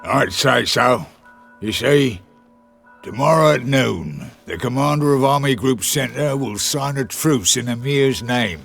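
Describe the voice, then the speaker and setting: Creaky-voiced, wry guerrilla commander. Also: male voice, mid-range voice, lively intonation, slow pace, a bit bright, soft background music, full character voice. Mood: sardonic. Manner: sardonic, clipped, sometimes florid. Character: wry, hard-bitten male guerrilla commander. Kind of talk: cinematic game voice acting.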